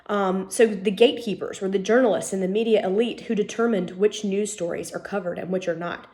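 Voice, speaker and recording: A young woman teaching an online college class while nursing a cold, her voice a little sniffly. She talks to students in a loose, friendly way, dotted with "um"s, into a laptop mic at a middle pitch. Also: steady tone, a little fast, darkish tone. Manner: chatty, informal, many "um" fillers. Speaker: college lecturer. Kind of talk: recorded online lecture.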